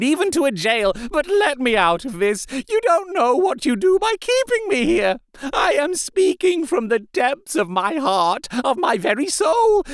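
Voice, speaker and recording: A man's voice: very high voice, no echo, clean studio sound, pitched above the performer's own voice, full character voice. A man voicing a desperate male asylum inmate who begs and implores in a wailing, breaking voice.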